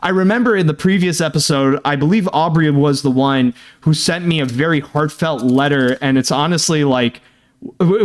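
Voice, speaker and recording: A young adult man hosting a casual video, reading fan mail in a halting, earnest way full of fillers. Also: a little high. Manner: halting, filler-heavy, many "uh" and "like"; earnest, thanking and sympathetic. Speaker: host.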